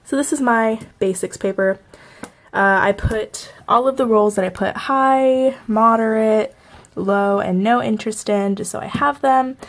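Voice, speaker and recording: A woman's voice: a little high, unhurried. A young woman vlogging in a casual, chatty way, laughing often and a little stuffy from a cold. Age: young adult. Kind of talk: casual chat to camera.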